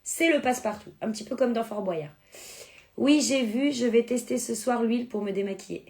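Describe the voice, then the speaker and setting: A woman's voice: a little high, normal speed, a little mellow. A woman whose first language is Spanish, chatting casually on a live stream as she sells beauty products and answers viewers' comments. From born in France, Spanish family.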